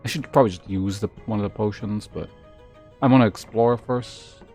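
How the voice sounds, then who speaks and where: A man's voice: fairly low pitch, moderate pace, a little mellow, soft background music. A man streaming a game, informally commenting on play and reading its text aloud, with muttered asides.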